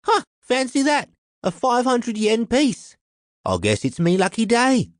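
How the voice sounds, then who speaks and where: A man's voice: very high-pitched, huge pitch swings, measured pace, no room sound, studio quality, pitched above the performer's own voice, full character voice. A man voicing a tall, nervous crook with a Cockney accent and an anxious, chattering manner in an audiobook. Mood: pleased.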